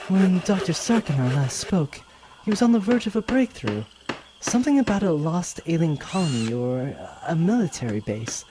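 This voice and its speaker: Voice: medium pitch, background noise, close to an everyday voice. A performer playing an earnest, scholarly female archaeologist in a space audio drama, explaining things articulately. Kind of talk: hobby audio drama acting.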